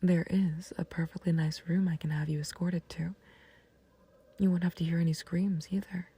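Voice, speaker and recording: A woman playing a calm, taunting villain in an intimate roleplay audio, speaking in a breathy near-whisper with unhurried condescension and mock sympathy. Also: fairly low pitch, dry recording. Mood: condescending, coaxing. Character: calm, taunting female villain, menacing yet fond of a friend. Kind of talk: scripted roleplay audio acting, intimate ASMR.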